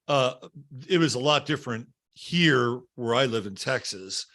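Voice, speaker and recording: Mid-pitched man telling stories conversationally. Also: lively intonation, unhurried, a bit bright. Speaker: podcast host. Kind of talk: conversational personal storytelling.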